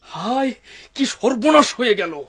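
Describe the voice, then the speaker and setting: A man's voice: very high voice, animated, unhurried, a bit bright, a little hiss or hum, exaggerated voice. A man voicing a scheming, vengeful bandit chief in a cartoon. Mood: alarmed. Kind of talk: dubbed cartoon acting.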